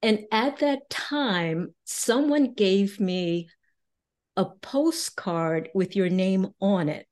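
A woman interviewing a guest, asking polite questions in a careful, deliberate way. She speaks slowly, with mid-range pitch and the occasional um. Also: expressive, a little mellow. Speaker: interviewer, host.

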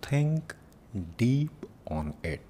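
Man doing guided meditation, super slow, dark mellow voice, long pauses, some rumbly noise behind him. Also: sing-song. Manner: soft-spoken instruction with long pauses. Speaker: meditation teacher. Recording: noisy with low rumble.

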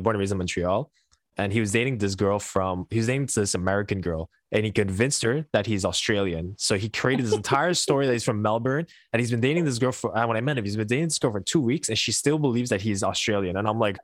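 A man's voice: lowish voice, dry recording, studio quality. Laid-back young Canadian man hosting a podcast. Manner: chatty, laughs often, many restarts. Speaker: podcast host. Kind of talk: casual chat. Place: Montreal.

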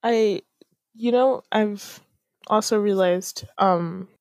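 A woman's voice: medium pitch, slow, darkish tone, no room sound. A young woman chatting casually with a friend, thinking aloud with many fillers and laughing often. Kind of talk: casual chat.

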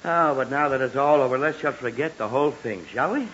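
A man's voice: medium pitch, a little fast, a bit bright, natural voice. An American man playing a flustered, weary middle-aged family father in a 1940s radio comedy, sighing and exasperated. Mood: weary relief. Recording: muffled, noisy 1940s radio recording.

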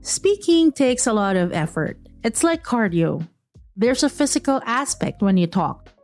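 A woman's voice: fairly high pitch, sing-song, unhurried, a little mellow, faint music behind. A woman with a Filipino accent, a podcast host giving tips in a direct, teasing way, mixing English and Tagalog.

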